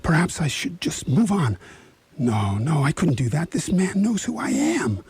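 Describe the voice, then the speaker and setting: A man playing a nervous, vain, fussy schemer in a radio play, with a raspy breathy voice and a dithering, dramatic manner. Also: fairly high pitch, big pitch swings, a little slow, darkish tone, no room sound, full character voice. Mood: anxious scheming. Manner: dithering, dramatic self-questioning, dropping into whispers. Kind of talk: radio theatre acting.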